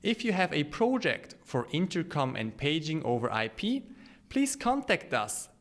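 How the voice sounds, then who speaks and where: A man's voice: on the high side, sing-song, measured pace. A man reading a scripted promotional explainer as the narrator of a product video.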